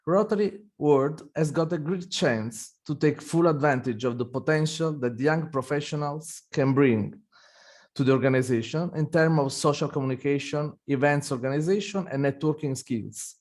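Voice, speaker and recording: Man, higher voice, formal host remarks, online call. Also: a little mellow. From Italy. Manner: reads prepared remarks, occasional restarts. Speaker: event host. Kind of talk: formal welcome speech. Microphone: online meeting.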